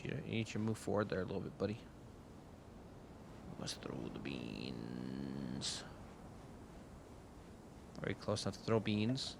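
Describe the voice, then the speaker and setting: Low, very gravelly man streaming a game. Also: big pitch swings, a little slow, long pauses, noisy background. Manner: playful, mutters and repeats phrases. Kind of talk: live game reaction commentary. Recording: loud game music behind.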